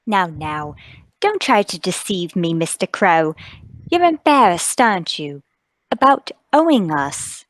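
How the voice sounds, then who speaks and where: A woman's voice: fairly low pitch, big pitch swings, measured pace, no room sound, pitched below the performer's own voice. A woman playing a kindly, inquisitive young daughter of a landlady, coaxing and fussing sweetly in a radio-play table read.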